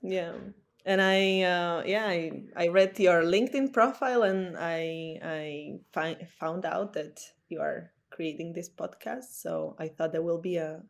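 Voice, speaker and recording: A young woman speaks as a podcast guest, her voice sitting a little low for a woman. She talks hesitantly, pausing on fillers as she searches for words in English. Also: gravelly. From Slovakia. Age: 20s. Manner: hesitant, frequent fillers, laughs easily. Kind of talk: casual interview talk.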